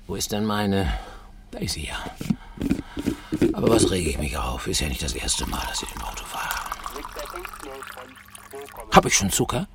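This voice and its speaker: Grumpy low-voiced street cop muttering away, lively, some noise behind. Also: male voice, moderate pace, pauses often. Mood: grumbling. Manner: grumbling, muttering to himself. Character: grumbling middle-aged male patrol cop. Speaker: patrol policeman. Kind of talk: radio play acting.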